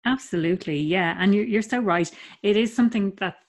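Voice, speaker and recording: A woman's voice: mid-range voice, brisk. Upbeat woman hosting a podcast. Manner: chatty, upbeat, loose phrasing. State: recovering from illness, slightly hoarse. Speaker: podcast host. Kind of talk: podcast interview.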